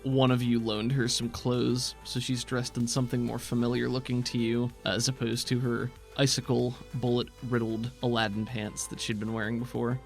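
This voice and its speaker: Creaky-voiced game master over faint music. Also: medium pitch, flat delivery, normal speed, few pauses. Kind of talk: narrating and explaining a tabletop game.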